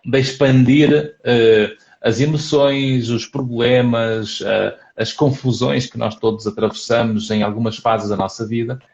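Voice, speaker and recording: A man's voice: medium pitch, fairly even intonation, unhurried, creaky, darkish tone. Hesitant Portuguese man, lo-fi call. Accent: European Portuguese. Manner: thoughtful, hesitant with frequent fillers. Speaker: psychologist host. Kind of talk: casual live chat.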